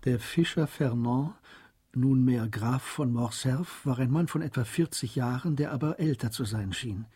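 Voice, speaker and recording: A man narrating a German radio drama as a calm, measured literary storyteller. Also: mid-range voice, husky. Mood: calm, narrative.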